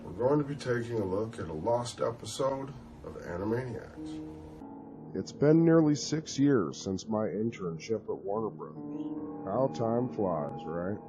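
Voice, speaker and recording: A man giving a dramatic reading of a horror story, telling it in an expressive, conversational way. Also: fairly low pitch, measured pace, music in the background. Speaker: narrator.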